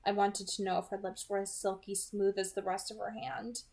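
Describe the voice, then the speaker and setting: A woman's voice: mid-range voice, flat delivery, normal speed, vocal fry, a little mellow. A woman narrating a novel aloud as an amateur audiobook reader.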